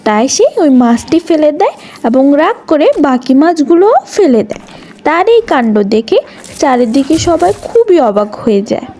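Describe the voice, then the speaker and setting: A woman's voice: sits high, sing-song, moderate pace, warm tone, slight background noise. A woman reading scripted narration for a cartoon story as its storyteller.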